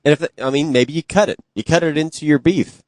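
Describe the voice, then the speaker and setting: A man's voice: a little high, fairly quick, no echo. A man co-hosting a podcast in casual, comedic banter. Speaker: podcast co-host.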